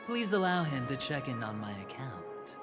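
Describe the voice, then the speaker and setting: An actor voicing a suave, self-assured gambler in a video game, with theatrical, teasing courtesy. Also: male voice, medium pitch, very animated, moderate pace, close to an everyday voice. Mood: smooth courtesy. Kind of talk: dubbed video game dialogue. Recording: game audio over music.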